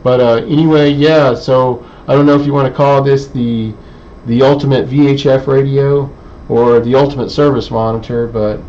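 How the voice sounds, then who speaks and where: A man giving an unscripted technical demonstration in a halting, hesitant way, thinking aloud as he explains. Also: mid-range voice, steady tone, mellow. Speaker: presenter of an electronics how-to video. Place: indoor electronics workbench. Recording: dull lo-fi audio with clear background noise.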